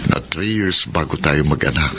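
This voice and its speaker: A Filipino man playing a patient, wistful young husband, hesitant and gentle, in a radio drama. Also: rumbling low, animated, moderate pace. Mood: tender, pleading. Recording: noisy radio drama recording.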